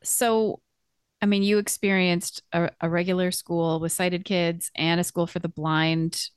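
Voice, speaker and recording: A woman co-hosting a podcast, asking questions quickly and restarting her sentences mid-way. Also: lowish voice, flat delivery, smooth, no room sound, clean studio sound. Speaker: co-host. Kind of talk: casual podcast interview.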